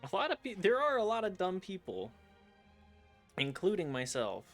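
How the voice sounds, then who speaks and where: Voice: medium pitch, huge pitch swings, moderate pace, soft background music. A streamer giving casual gameplay commentary, chatty and self-deprecating, talking back to the game.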